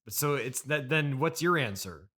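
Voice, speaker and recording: A man's voice: medium pitch, lively intonation, normal speed, pushed and bright. A man hosting a casual comedy interview, asking probing questions in a hesitant, straight-man way. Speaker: podcast host, interviewer. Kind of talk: casual improvised comic interview.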